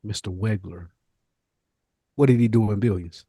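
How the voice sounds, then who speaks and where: A man's voice: on the low side, normal speed, mellow, breathy edge, no echo, clean studio sound. An American man co-hosting a podcast in casual chat, talking informally with plenty of slang. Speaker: podcast co-host.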